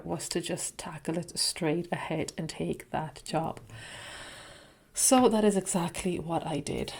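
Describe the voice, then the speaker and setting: A woman talks through a personal story at an easy, deliberate pace, her voice sitting a little low. She lets pauses hang between thoughts and sounds reflective and candid, like a vlogger recounting her own past. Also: a little mellow. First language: not Spanish.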